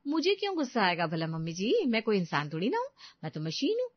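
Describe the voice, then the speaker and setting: A woman's voice: medium pitch, huge pitch swings, fast talker, no room sound, highs cut off. A woman voicing a young daughter-in-law, martyred and self-important, grumbling with sarcasm in a family story. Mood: sarcastic anger. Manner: sarcastic, put-upon grumbling. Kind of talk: Hindi family story acting.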